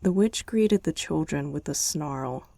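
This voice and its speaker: Slow, gravelly soft-spoken woman. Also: low voice, lively intonation, no echo. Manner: soft, chatting to the listener between lines. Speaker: girlfriend in a roleplay. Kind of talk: ASMR bedtime story reading.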